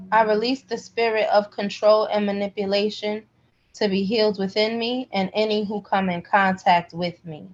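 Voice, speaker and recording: A woman teaching a live spiritual healing class in a direct, emphatic, colloquial way. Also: mid-range voice. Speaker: class instructor, pendulum energy healer. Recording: slightly dull, lo-fi call audio.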